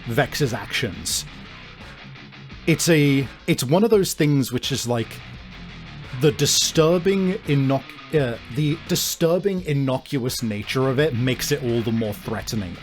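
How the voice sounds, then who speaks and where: Male game master, medium pitch, slow halting narration of dark scenes over faint guitar music. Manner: halting, deliberate, searching for words. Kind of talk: improvised narration of a tabletop horror game.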